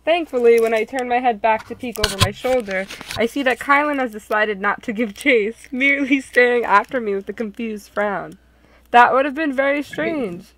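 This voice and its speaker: Higher-voiced woman narrator, noisy recording. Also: normal speed, fairly bright tone. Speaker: narrator of a visual novel playthrough. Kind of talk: casual group chat and story narration.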